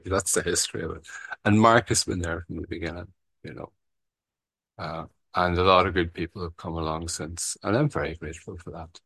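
A man's voice: deep voice, fairly bright tone, no echo. A man with an Irish accent telling, in a frank and rambling way, how he started a recovery meeting, often saying "you know" and swearing casually. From Ireland. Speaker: recovery meeting founder sharing his story. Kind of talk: casual personal share.

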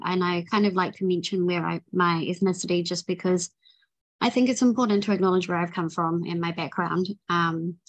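A woman's voice: lowish voice, poor audio. A young woman with a New Zealand accent, sharing her recovery story in a tired, rambling way full of ums and restarts. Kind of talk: personal recovery share.

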